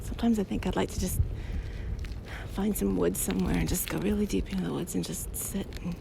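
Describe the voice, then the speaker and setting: A woman with a slightly creaky, crackling edge to her voice talks in a loose, intimate ramble, like a girlfriend keeping someone company. Her phrases trail off and restart with a giggly ease. She is outdoors, with clear traffic and environmental noise around her. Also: fairly low pitch, fairly even intonation, a little fast. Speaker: audio roleplay creator playing a loving girlfriend. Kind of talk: casual rambling chat, intimate one-sided companion talk. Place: outdoors on a bike path. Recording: noisy outdoor ambience, passing vehicles.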